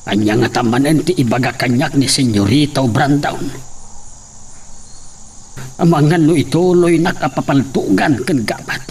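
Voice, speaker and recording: A man's voice: medium pitch, normal speed, pauses often, darkish tone, a little hiss or hum, lightly acted. An actor playing a stubborn aging farmhand and father in a radio drama. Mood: stern.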